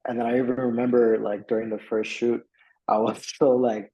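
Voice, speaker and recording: A man's voice: medium pitch, little pitch movement, normal speed, mellow, poor audio. A young man from Miami telling his story as a client in a casual interview. He rambles a little, with lots of fillers and restarts. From Miami, USA. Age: young adult. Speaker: client giving a testimonial.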